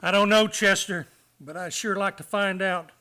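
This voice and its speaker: A firm town doctor from a radio Western, acted by a man. His voice is high and bright, and he speaks plainly and protectively. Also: fairly even intonation, moderate pace. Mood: wary, firm. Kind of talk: Western radio play acting.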